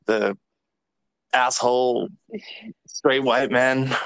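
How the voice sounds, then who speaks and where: An outspoken man chatting as a podcast guest, blunt and freely swearing as he tells his stories. He has a voice of ordinary pitch. The recording is dry and close, with no room around it. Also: fairly even intonation, very slow, creaky, a bit bright, smooth, dry recording, low-quality recording. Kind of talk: casual chat and storytelling.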